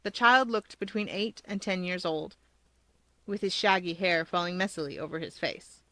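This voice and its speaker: A woman's voice: fairly low pitch, moderate pace, sharp tone. A woman hosting and narrating fan fiction as scripted storytelling, in a close, dry recording. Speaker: fan fiction reader and host.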